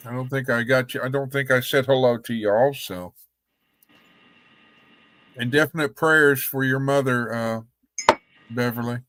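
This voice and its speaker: A man chatting on a livestream, rambling and repeating words as he gently scolds. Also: medium pitch, fairly even intonation, a little slow, long pauses, a little hiss or hum. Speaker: guest on a livestream. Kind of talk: casual livestream chat.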